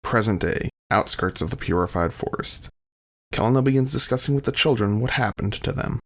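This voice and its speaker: A man's voice: lowish voice, animated, brisk, a bit bright. A man reading scripted scene headings as the narrator of an audio drama.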